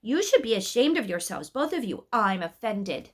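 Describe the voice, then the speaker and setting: A woman's voice: mid-range voice, very animated, slow, breathy edge, exaggerated voice. Woman as a pert, huffy little cherub. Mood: offended. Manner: pert, echoing others' scolding. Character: cheeky, huffy, offended little male cherub.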